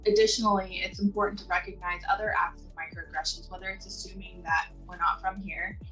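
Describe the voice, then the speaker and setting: A woman speaks in a slightly low voice, earnest and measured, as she shares her own experience for a community video. The mic sits some distance from her, so she sounds a bit removed, and soft music plays underneath her. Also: level tone. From Southern California. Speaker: community member sharing experiences. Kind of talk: personal testimony in a video.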